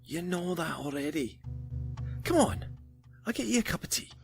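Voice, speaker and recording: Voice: mid-range voice, lively intonation, normal speed, gravelly, music in the background. An actor playing a plucky, protective young lad in an audio drama, urgent and pleading. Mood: coaxing, pleading. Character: plucky, protective young lad looking after an old man.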